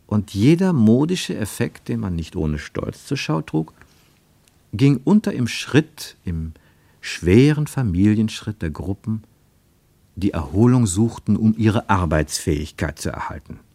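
A man with a low voice narrates a radio travelogue in a measured, wryly ironic tone. The studio sound is dry and close, with no room around him. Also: sing-song, vocal fry, dark tone, slightly rough, no echo. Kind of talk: scripted literary narration; 1950s radio play.

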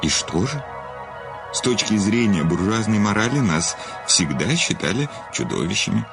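Very deep-voiced cynical murderous novelist. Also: male voice, sing-song, creaky, over music. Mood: proud, cynical. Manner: grandiloquent, ironic, unhurried with hesitations. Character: famous male crime novelist, self-confessed murderer, urbane and cynical. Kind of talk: theatrical audio drama dialogue.

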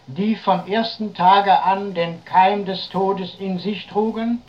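An actor playing a grave parliamentarian, warning in formal, emphatic sentences. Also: male voice, high-pitched, lo-fi sound. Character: grave, warning parliamentarian lecturing on failed governments. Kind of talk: dramatized debate speech.

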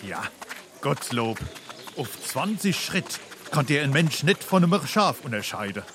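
An actor playing a wry, uneasy drinking companion in a Darmstadt dialect stage comedy. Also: male voice, medium pitch, big pitch swings, measured pace, noisy background. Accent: Hessian, Darmstadt dialect.

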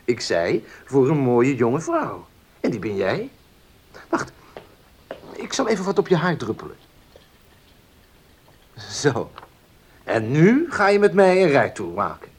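An actor playing a charming, spoiled young student, smooth-talking and teasing in a radio drama. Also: male voice, medium pitch, huge pitch swings, slow, pauses often, slight background noise. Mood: charming, coaxing. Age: young adult.

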